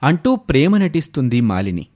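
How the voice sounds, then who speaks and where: A man delivering scripted narration as the narrator of an animated moral story. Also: medium pitch, moderate pace.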